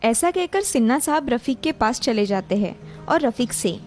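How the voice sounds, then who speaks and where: High-voiced woman telling a scripted story. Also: lively intonation, moderate pace, a little mellow. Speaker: story narrator. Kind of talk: scripted Hindi children's story narration. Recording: music behind the voice. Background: background music.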